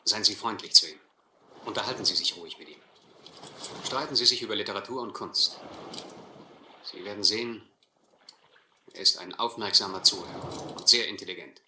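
Low, intense educated gentleman castaway. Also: normal speed, pauses often. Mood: bitter, urgent. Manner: articulate, earnest, bitter. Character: educated, resigned gentleman castaway recounting life aboard. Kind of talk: German radio play narration and dialogue. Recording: roomy, faintly noisy radio play recording.

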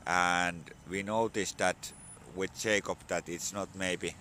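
A man in his forties with a Finnish accent, a bit hoarse from a cold, talking in halting English with many fillers into a phone held at arm's length outdoors. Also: deep voice, steady tone, sharp tone, a little hiss or hum. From Finland. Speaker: vlogger. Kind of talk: casual outdoor vlog talk. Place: outdoors on a sunny spring morning.

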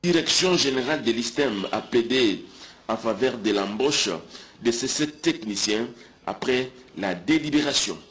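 A man's voice: medium pitch, lively intonation, measured pace, fairly bright tone. A man reading a scripted news report as the reporter.

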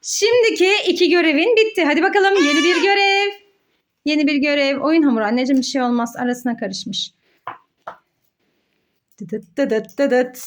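A woman hosting a children's play challenge as a mother, giving excited, encouraging play-along commentary in a sing-song way with repeated words. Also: sits high, moderate pace, pauses often.

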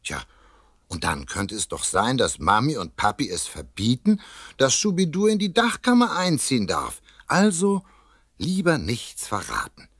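Chatty kids story narrator, husky lowish voice, super lively, confiding. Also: male voice, measured pace, dry recording, studio quality, heavily acted. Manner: conversational, confiding. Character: chatty, cosy male storyteller addressing young listeners. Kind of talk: expressive children's radio play narration.

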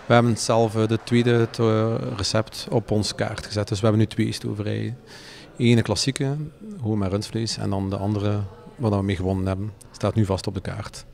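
A man's voice: on the low side, little pitch movement, moderate pace, vocal fry, darkish tone. A man in his forties with a Flemish accent, a restaurateur answering interview questions off the cuff. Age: 40s. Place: indoors in a plain room. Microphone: handheld reporter microphone.

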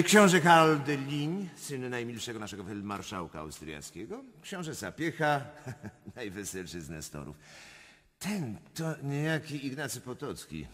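An actor playing a flamboyant, cynical prince and court schemer in a radio drama, theatrical and mocking, with a husky voice. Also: male voice, mid-range voice. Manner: theatrical, mocking, swings from whispers to roars. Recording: long hall-like reverb.

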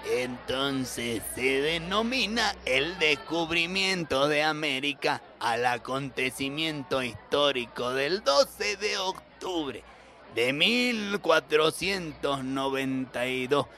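Man doing a droning, pedantic schoolteacher, slow-paced. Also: on the high side, lively intonation, sharp tone, heavily acted. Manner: slow, pompous lecturing.

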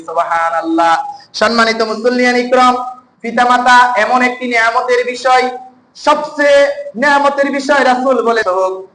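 A man's voice: sits high, a bit bright. A man preaching an Islamic sermon to a congregation, exhorting them and repeating his phrases for emphasis. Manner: exhortatory, repeats phrases, prompts listeners to respond. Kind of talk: sermon to a congregation, with religious stories. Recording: echoey room, faint background noise.

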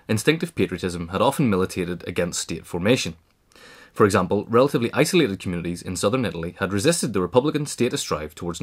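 A man reading a scripted history essay aloud in a formal manner. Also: deep voice. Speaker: audiobook reader.

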